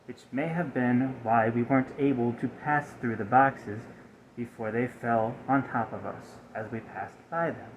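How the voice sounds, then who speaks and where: A man voicing a stiff, aggrieved, malfunctioning robot in a soft-spoken ASMR roleplay, with formal, halting, over-precise phrasing. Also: mid-range voice, fairly even intonation, a little hiss or hum, lightly acted, poor audio.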